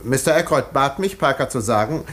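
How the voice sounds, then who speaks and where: An actor playing an educated country doctor, clipped and insistent, in a 1950s German radio play. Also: male voice, mid-range voice, expressive, fairly quick, a bit bright, lightly acted. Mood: grave, clipped. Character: urgent, educated male English country doctor. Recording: roomy, hissy 1950s radio recording.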